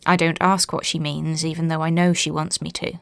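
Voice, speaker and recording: A woman's voice: deep voice, level tone, fairly quick, gravelly, clean tone, dry recording, clean studio sound. A woman narrating a literary ghost story as a scripted reading.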